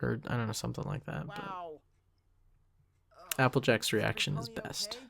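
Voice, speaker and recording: A man's voice: medium pitch, very animated, moderate pace, creaky, a crowd in the background. A young man giving a casual commentary track in a rambling, self-critical way, often trailing off mid-thought. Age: young adult. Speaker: creator of an animated parody series.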